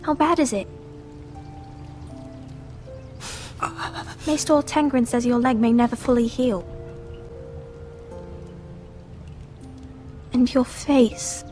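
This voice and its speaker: A woman's voice: a little high, normal speed, dark tone, soft background music, lightly acted. A woman playing an anxious, devoted teenage noble sister in a dramatic video game, speaking with earnest emotion. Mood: worried, sombre.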